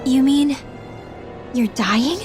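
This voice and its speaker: High-voiced, earnest teenage game girl. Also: very slow, over music. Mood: alarmed. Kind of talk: video game cutscene acting.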